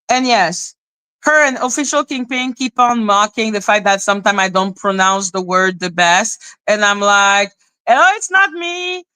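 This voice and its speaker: Bright-voiced woman ranting. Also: medium pitch, clear, resonant tone, no room sound, rough recording. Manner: sarcastic, exasperated, rambling. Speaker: livestream host. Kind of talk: ranting live-stream commentary.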